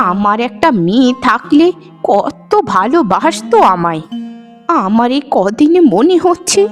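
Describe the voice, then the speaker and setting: A woman acts out an elderly village mother in a cartoon tale. The delivery is very animated and emotional, in a raised pitch with drawn-out phrases. Music sits behind it. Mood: affectionate. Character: elderly village mother and mother-in-law, sentimental, effusive. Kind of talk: Bengali cartoon voice acting.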